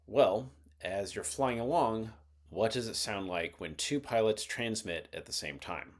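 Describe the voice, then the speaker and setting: Male explainer narrator, lower register, measured and methodical scripted read.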